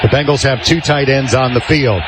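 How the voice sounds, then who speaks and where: A man's voice: medium pitch, normal speed, background noise. An American man calling live football play-by-play on the radio in clipped, descriptive phrases.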